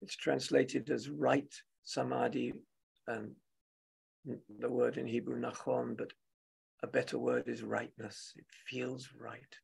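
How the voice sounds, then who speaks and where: A man guides a meditation in a very husky, breath-worn voice with heavy creak. He speaks gently and very slowly, leaving long pauses between short phrases, as a teacher feeling out each thought. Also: mid-range voice, flat delivery, poor audio. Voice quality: husky, gravelly. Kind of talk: guided meditation, spoken softly and unscripted.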